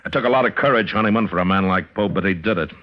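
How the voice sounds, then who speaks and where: Casting: man playing weary Western marshal, American, very low voice, stern and plain-spoken, dull vintage radio sound. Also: lightly acted. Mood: grave. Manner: grave, deliberate, plain-spoken. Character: stern, weary male frontier lawman. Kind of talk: old-time radio drama acting.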